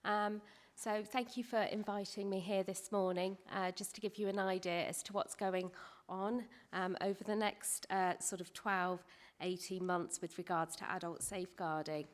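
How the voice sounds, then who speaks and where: A woman's voice: medium pitch, steady tone. A woman with a snuffly, hay-fever-affected voice, presenting carefully and formally to a council committee. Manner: careful and measured, with some hedging. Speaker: presenting officer. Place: council meeting room.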